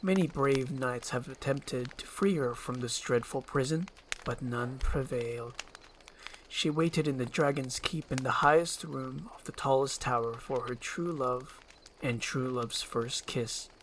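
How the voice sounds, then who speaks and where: A man's voice: medium pitch, unhurried, fairly bright tone, slight background noise, poor audio. A man reading a screenplay aloud as storybook narration.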